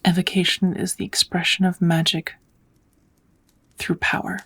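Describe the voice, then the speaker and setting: A woman voicing a kind, patient witch in a soft, often whispered ASMR roleplay, teaching magic in a gentle, measured, slightly formal way. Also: fairly low pitch, little pitch movement, husky, full character voice. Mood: calm, patient.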